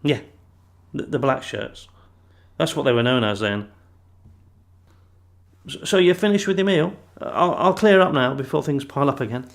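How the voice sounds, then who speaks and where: An actor playing a gentle, chatty elderly grandfather in an audio play, fond, reflective and a little halting. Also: medium pitch, animated, gravelly. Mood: reminiscing. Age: older.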